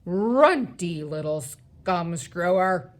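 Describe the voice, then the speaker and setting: A woman voicing a huge, gruesome male man-eating giant who booms thunderous shouts and insults in broken, childish grammar. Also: lively intonation, drawn-out words. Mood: furious, insulting.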